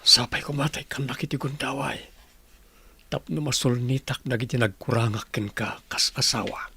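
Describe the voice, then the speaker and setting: A remorseful husband in a radio drama, pleading earnestly. His voice is creaky and rough, of lowish-middle register, with an Ilocano accent. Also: moderate pace, bright, forceful tone, exaggerated voice.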